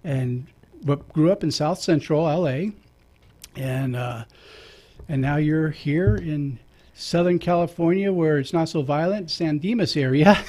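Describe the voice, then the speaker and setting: A man's voice: on the high side, a little slow, a little mellow. A man, a priest, hosting a radio talk show in a casual, jokey way, chuckling between remarks.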